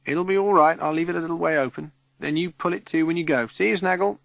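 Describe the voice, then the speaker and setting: A male reader voicing a brisk, no-nonsense woman who looks after a school building. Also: fairly high pitch, gravelly, fairly bright tone, no room sound, pitched above the performer's own voice, low-quality recording. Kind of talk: audiobook character reading.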